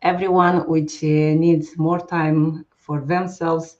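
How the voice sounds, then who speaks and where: Low, flat-voiced Moldovan woman, halting. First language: Romanian. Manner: halting, with many hesitations. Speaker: guest. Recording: slightly muffled stream audio.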